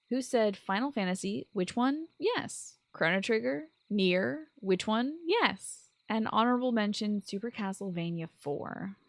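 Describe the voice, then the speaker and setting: A woman presents a scripted solo podcast in a medium-pitched voice. She is expressive and slips in enthusiastic asides. The sound is dry, with little trace of room. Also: no echo. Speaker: podcast host. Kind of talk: scripted solo podcast commentary on video games.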